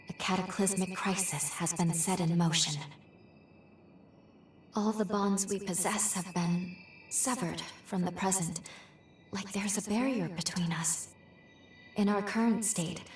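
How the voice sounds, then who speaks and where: A woman acting a worried, earnest guide in a video game cutscene, speaking formally and gravely. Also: medium pitch, a little hiss or hum, close to an everyday voice. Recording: echoey, distant voice over soft music.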